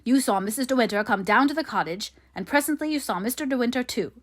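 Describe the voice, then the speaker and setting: A woman voicing a bullying, sneering man in an audiobook, rough and insistent, quick to anger. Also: mid-range voice, animated. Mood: angry, bullying.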